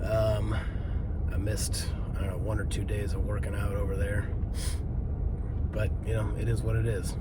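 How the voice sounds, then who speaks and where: A congested man in his thirties, rambling casually to camera with fillers and swearing after leaving the gym outside. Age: 30s. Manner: loose, rambling self-talk with lots of fillers and swearing. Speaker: vlogger. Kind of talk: casual post-workout vlog. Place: outdoors by a road, leaving the gym. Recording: loud traffic noise outside. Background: traffic rumble.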